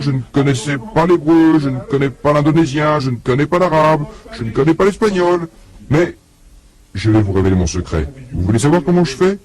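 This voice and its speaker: A man's voice: on the high side, darkish tone, rough recording. A man delivering a religious lecture to an audience, telling a story and acting out both sides of a conversation, often putting questions to his listeners. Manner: addresses the audience directly with questions.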